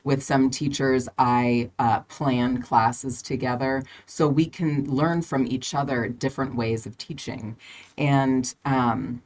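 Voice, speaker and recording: A woman from the United States, a visiting English teacher, answering podcast questions in a friendly, halting way with many fillers and frequent laughs. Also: rumbling low, steady tone, a little mellow.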